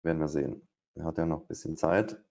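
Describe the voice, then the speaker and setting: Low, gravelly man thinking aloud. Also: flat delivery, fast, darkish tone. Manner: thinking aloud, hesitant, many fillers. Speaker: day trader. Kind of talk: live trading commentary. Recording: dull, lo-fi stream audio.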